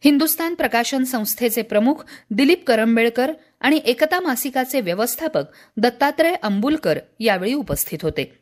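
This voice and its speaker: Lively, higher-pitched woman reading radio news. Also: moderate pace, few pauses. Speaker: newsreel presenter. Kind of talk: scripted radio news narration.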